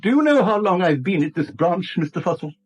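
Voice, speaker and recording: An actor playing a bitter middle-aged British bank manager in a radio drama, brusque, indignant and clipped, on a dull vintage recording. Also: male voice, high-pitched, sing-song, a little fast. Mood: bitter, aggrieved. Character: bitter, prickly long-serving male acting bank manager, older. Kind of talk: 1970s radio drama acting.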